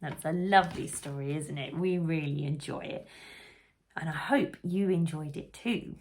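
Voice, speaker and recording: A woman reading a picture book aloud for young children in an animated, unhurried way, putting questions to the listener. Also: low voice, a little hiss or hum. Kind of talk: expressive storybook read-aloud for young children.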